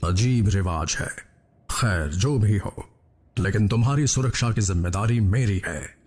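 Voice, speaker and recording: Cartoon robot commander, husky voice, calm and authoritative. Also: male voice, on the low side, unhurried. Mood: commanding. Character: noble male robot leader, calm, protective. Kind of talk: Hindi cartoon dub.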